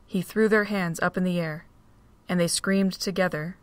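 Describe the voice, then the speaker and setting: A woman reading the narration of a fan audio drama in a steady storytelling voice. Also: fairly low pitch, normal speed, a little hiss or hum.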